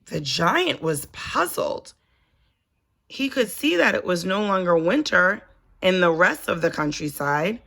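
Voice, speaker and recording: A woman reading a children's story aloud in an expressive, deliberate storytelling manner. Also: on the low side, gravelly. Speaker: storybook reader, teacher.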